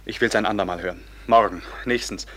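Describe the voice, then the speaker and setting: An actor playing a brooding, commanding, noble-born robber captain in a radio play, declaiming theatrically between hushed murmurs and ringing outbursts. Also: male voice, on the low side, fairly quick, close to an everyday voice. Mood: grave, urgent. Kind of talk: classic German radio play acting.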